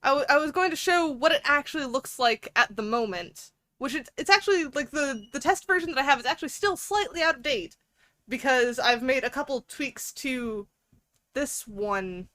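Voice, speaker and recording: A woman's voice: high-pitched, normal speed, sharp tone, no room sound. A woman hosting a livestream, chatting casually with viewers in a playful, giggly, rambling way. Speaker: livestream host.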